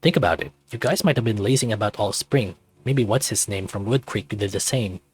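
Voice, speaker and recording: A man's voice: on the low side, brisk, a little mellow, slightly rough. A man voicing a laid-back, teasing teenage best friend in a visual novel, casual and playful.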